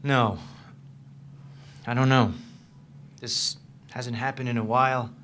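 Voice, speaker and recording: A man's voice: mid-range voice, fairly even intonation, slow pace, a bit bright, a little hiss or hum. An actor reading the part of a troubled, guarded man in a staged reading of a novel, with a hesitant, understated delivery. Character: troubled, guarded man confiding in his girlfriend, haunted by past.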